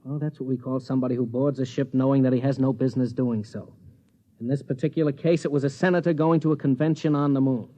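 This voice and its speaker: An actor playing a devoted but stubborn rocket pilot husband in 1950s American radio drama style. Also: male voice, medium pitch, steady tone, quick pace, warm tone, lightly acted. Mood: earnest, explanatory. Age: adult. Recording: muffled vintage 1950s radio recording.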